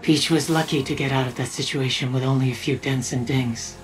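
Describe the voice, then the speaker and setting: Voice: medium pitch, steady tone, fairly quick, music in the background, natural voice, low-quality recording. A performer playing a friendly, focused quest-giver in a video game, briefing an ally in an earnest, conversational way. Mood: businesslike.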